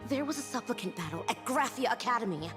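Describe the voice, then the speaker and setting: A woman's voice: on the high side, expressive, fast talker, close to an everyday voice. A woman voicing a gossipy, easily alarmed young townsperson in an anime-style video game, emphatic and dramatic. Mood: shocked, indignant. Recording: music behind the voice.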